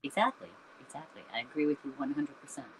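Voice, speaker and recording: A woman's voice: deep voice, quick pace, rough recording. A woman in her fifties, a singer who founded her band, answering interview questions in an earnest, reflective way with many "you know"s and "um"s. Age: 50s. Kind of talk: candid conversational interview answers.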